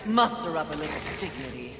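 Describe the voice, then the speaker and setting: A proud, fierce rider of the apocalypse in a video game. She is commanding and scornful, with lively swings of pitch, echoing over background noise. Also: brisk. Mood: scornful, defiant. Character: fierce, proud female warrior horseman of the apocalypse. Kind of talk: video game cutscene acting.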